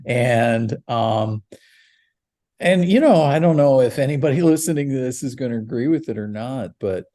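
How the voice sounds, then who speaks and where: A middle-aged man co-hosting a casual podcast, rambling with frequent fillers and laughs. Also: medium pitch. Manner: rambling, warm, laughs between words. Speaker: podcast co-host.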